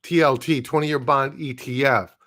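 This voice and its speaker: Hoarse man giving blunt market commentary. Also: mid-range voice, steady tone, normal speed, a bit bright. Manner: blunt, opinionated, casual slang; clears throat often. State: losing his voice. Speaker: market analyst hosting a live stream. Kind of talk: live market commentary over charts.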